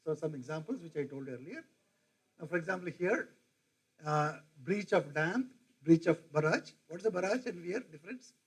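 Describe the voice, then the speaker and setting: A man's voice: fairly high pitch, expressive, normal speed, husky, low-quality recording. An older man with an Indian English accent giving a rambling lecture to teachers, often asking his audience questions. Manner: digressive, asks the audience questions. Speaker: professor. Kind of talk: lecture to faculty.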